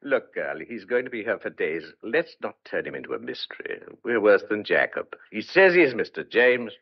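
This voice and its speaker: A man's voice: fairly low pitch, sing-song, moderate pace, husky. An actor playing an opinionated, teasing older country father in an old-fashioned audio drama. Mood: musing. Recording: dull, muffled lo-fi audio.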